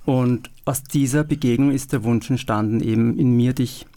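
Calm male radio host. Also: mid-range voice. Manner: calm, measured, reads prepared text. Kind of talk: scripted radio moderation. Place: radio studio.

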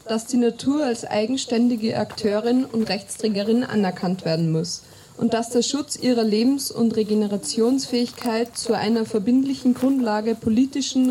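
A woman's voice: a little high, moderate pace, warm tone. A woman reading part of a formal declaration aloud.

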